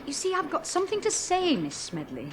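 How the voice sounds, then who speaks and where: A woman's voice: extremely high pitch, huge pitch swings, fairly quick, a little hiss or hum, full character voice. An actress in a 1970s radio play, playing an earnest, plain-spoken young woman aviator. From Hull, England.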